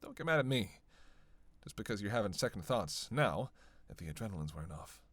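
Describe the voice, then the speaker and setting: Man playing a sardonic low-voiced vampire. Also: big pitch swings, a bit bright, dry recording. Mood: defiant, sardonic. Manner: sardonic, playful, conversational with sighs and pauses. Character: teasing, witty, bloodthirsty male vampire, centuries-worn cynic. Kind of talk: flirtatious dark roleplay monologue to one listener.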